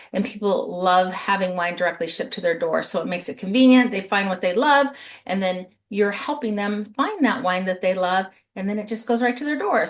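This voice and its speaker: Upbeat woman hosting a sales call. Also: mid-range voice. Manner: upbeat, persuasive. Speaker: host. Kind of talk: sales presentation on a video call.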